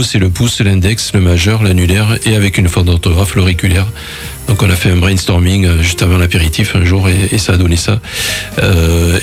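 A deep-voiced man from southwest France speaks as a radio guest for a local association. He explains things off the cuff, repeating words as he goes, with faint music behind. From Gers, southwest France. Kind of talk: unscripted explanatory interview talk.

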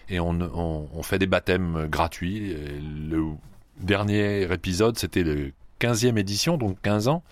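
Man, very low voice, dry close sound, halting speech with fillers, radio guest. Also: dry recording. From Paris region, France. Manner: hesitant, many fillers and restarts. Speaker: guest, sand yachting club head. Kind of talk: radio interview.

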